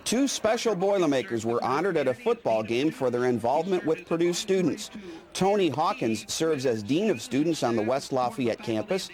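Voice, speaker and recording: A man's voice: mid-range voice, expressive, normal speed, darkish tone. A man reading scripted newsreel narration as the narrator.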